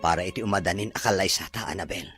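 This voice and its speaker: A man's voice: low-pitched, fast, fairly bright tone, breathy edge, faint music behind. A man with an Ilocano accent playing an earnest bridegroom reassuring his bride in a radio drama. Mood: tender, reassuring. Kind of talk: Filipino radio drama acting.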